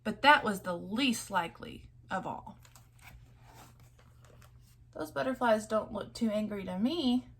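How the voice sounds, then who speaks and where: Slow-paced woman reading a children's story. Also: medium pitch, animated, husky. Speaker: read-aloud storyteller. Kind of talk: expressive children's story read-aloud with chatty asides.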